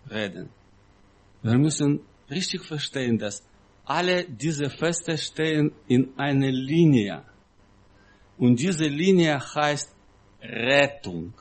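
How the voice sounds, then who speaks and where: Slow-speaking man with a foreign accent. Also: fairly high pitch, fairly bright tone. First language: not German. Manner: halting, simple broken phrasing, emphatic repetition. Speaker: guest preacher. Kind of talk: sermon-like teaching talk to a congregation.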